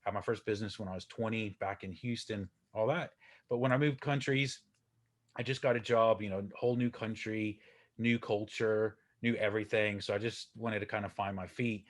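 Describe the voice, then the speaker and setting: A man in his forties, from Texas, talking as a guest on a podcast. His voice sits low, and he rambles in a friendly, self-deprecating way, full of ums, uhs and restarts. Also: fairly even intonation, moderate pace. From Texas, USA. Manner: chatty, self-deprecating, many fillers and restarts. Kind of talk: casual interview talk.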